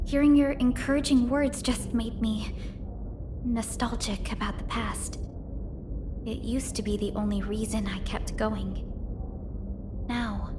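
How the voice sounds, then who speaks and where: A woman plays an earnest young supervisor in a video game, with a high-pitched voice. She speaks formally and politely, composed and sincere in her sense of duty. Music plays behind her lines. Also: close to an everyday voice. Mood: gentle, nostalgic. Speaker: game character voice. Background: background music.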